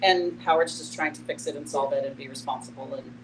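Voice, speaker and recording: A woman's voice: low voice, fairly even intonation, fast talker. A middle-aged woman talking candidly and with empathy in a casual group discussion. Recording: noisy room, distant mic, hum.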